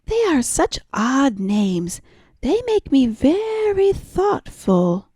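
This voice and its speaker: A woman's voice: high-pitched, very animated, slow, warm tone, no room sound, full character voice. A woman voicing a timid, trusting little female mouse in a storybook, exclaiming in wide-eyed surprise. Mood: puzzled.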